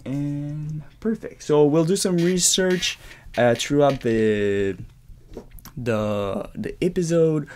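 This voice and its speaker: A man's voice: on the high side, animated, slow, a little mellow, a little hiss or hum. A man in his early twenties from Quebec with a Québécois French accent, a native French speaker hosting his own podcast in English, rambling casually with restarts and swearing, recorded in his bedroom. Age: 20s. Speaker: podcast host. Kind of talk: unscripted solo podcast monologue. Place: his bedroom in a shared apartment.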